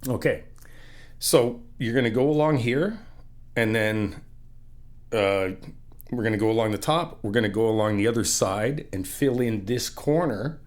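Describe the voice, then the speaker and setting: A man's voice: medium pitch, unhurried, natural voice. A man playing an easygoing, encouraging friend in a casual ASMR roleplay, chatting informally and explaining things patiently. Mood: patient, instructive. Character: easygoing, encouraging male friend helping paint an apartment.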